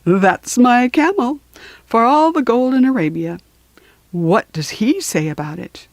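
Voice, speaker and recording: Woman playing a bossy magical djinn, animated swooping delivery. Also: on the high side, measured pace, no room sound. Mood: startled, businesslike. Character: magical desert djinn, brisk bossy scolding master. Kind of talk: children's storybook character reading.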